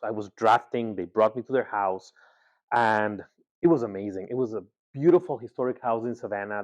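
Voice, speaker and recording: A man from Ecuador giving a conversational presentation as a guest speaker, often pausing with filler words and repeating himself. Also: medium pitch, a little mellow. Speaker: guest speaker, agency founder. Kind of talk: presentation talk. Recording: slightly dull, lo-fi sound.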